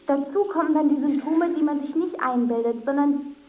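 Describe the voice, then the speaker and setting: A woman's voice: sits high, moderate pace, muffled recording, natural voice. An actress playing a worried, insistent woman in a radio drama, pleading and lecturing by turns. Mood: lecturing, exasperated. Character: worried, insistent woman coaxing a hypochondriac man.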